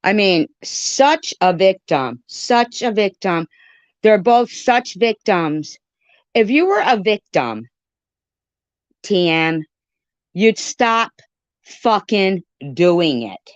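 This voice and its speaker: Slow, dry, swooping woman ranting on stream. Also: mid-range voice, big pitch swings, no room sound, dull audio. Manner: irritated, emphatic, repeats phrases for emphasis. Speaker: live streamer. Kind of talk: informal live-stream rant, commentary to chat.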